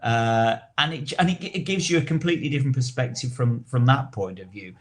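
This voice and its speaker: Earnest male podcast co-host, mid-pitched. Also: fairly quick. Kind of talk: casual chat.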